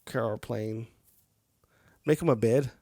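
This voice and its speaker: A man's voice: medium pitch, slow pace, a little mellow, dry recording, clean studio sound. A man reading a game's story aloud on a live stream, with casual, chatty asides to viewers. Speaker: game streamer.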